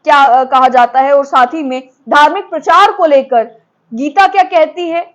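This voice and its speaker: A woman's voice: high-pitched, normal speed. A woman presenting explanatory news commentary, mixing in English legal terms as she explains point by point.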